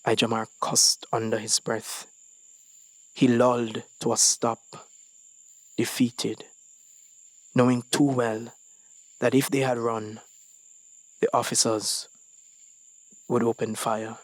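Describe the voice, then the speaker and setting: A man reading a short story as scripted dramatic narration, with deliberate phrasing and dramatic pauses. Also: medium pitch, flat delivery, no room sound, low-quality recording. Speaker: story reader.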